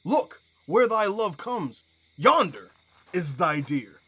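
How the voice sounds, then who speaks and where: An actor playing a proud, combative young lover in a Shakespearean audio drama, declaiming verse in short phrases. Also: male voice, high voice, big pitch swings, takes it slowly, a bit bright, slightly rough, heavily acted. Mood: proud, dismissive.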